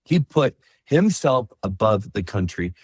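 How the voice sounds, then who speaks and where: A man's voice: on the low side, very animated, a little mellow, breathy edge, dry recording. A man co-hosting a soccer podcast who speaks bluntly and pushes the conversation forward. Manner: blunt, quick to push the talk along. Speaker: podcast co-host. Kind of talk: casual chat.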